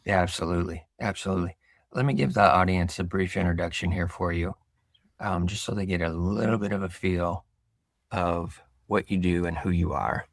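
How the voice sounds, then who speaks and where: A man hosting a podcast interview, speaking in a relaxed, reflective way with filler pauses. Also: deep voice, no echo, clean studio sound. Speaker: podcast host. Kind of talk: casual interview.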